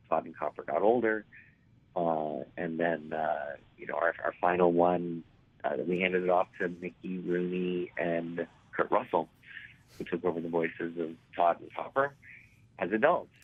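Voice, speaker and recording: A man in his forties with a raspy, hoarse voice, telling stories as an interview guest and rambling with many fillers and restarts. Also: sits low, fairly even intonation, normal speed, no echo. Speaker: actor guest. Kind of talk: casual interview storytelling. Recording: tinny phone line.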